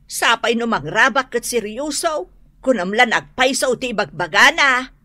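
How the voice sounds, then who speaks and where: A woman's voice: fairly high pitch, lively intonation, moderate pace, sharp tone, dry recording. A woman playing a no-nonsense village wife and mother in an Ilocano radio comedy drama. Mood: exasperated.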